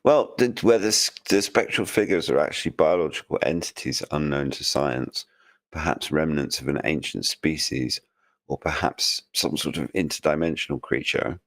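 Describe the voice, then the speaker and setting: Very deep-voiced man musing casually. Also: normal speed, fairly bright tone. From United Kingdom. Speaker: podcast co-host. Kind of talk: casual chat.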